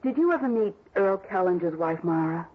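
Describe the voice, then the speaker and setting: A woman's voice: on the low side, expressive, brisk. An actress playing a blunt, slangy widow who does her own repairs, in a 1960s radio drama. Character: brash widow, handy and mercenary. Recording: dull old radio broadcast.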